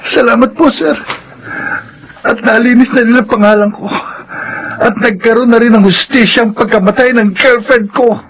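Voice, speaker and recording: A man's voice: very high voice, steady tone, normal speed, background noise. An actor playing an anguished, pleading young man wrongly accused, in Filipino radio drama style with a Filipino accent. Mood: subdued. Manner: pleading, earnest.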